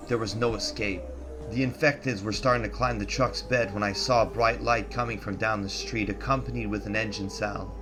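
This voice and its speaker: A man reading a first-person horror story in a tense, dramatic, scripted narration style. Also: lowish voice.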